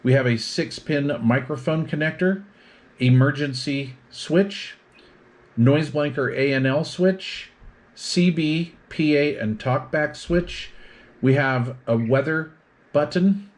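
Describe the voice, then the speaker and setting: A man's voice: fairly high pitch, slow. A man giving an explanatory product demonstration, methodically listing features in short phrases as a reviewer.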